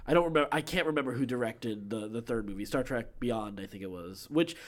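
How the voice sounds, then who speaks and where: A man's voice: medium pitch, fast talker. A man co-hosting a podcast, chatting casually about pop-culture news in a conversational, rambling way. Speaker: podcast co-host.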